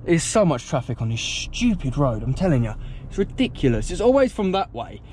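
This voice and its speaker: A young British man with a slightly high voice talks casually to his viewers from inside a car. His delivery is informal and rambling, in the way of a vlogger filming himself at the wheel. There is light car noise behind him. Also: very animated, fairly quick, darkish tone. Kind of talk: casual chat to viewers while driving. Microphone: head-mounted action camera.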